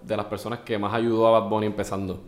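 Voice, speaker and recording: A man's voice: fairly low pitch, level tone, fast. Man, echoey room. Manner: keeps adding "¿sabes?". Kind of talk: casual chat reviewing music.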